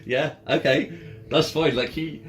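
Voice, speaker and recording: A man talking casually and encouragingly as the game master of a tabletop roleplaying session, often joking. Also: fairly high pitch. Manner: jokey, encouraging. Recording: background music under the talk. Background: ambient music.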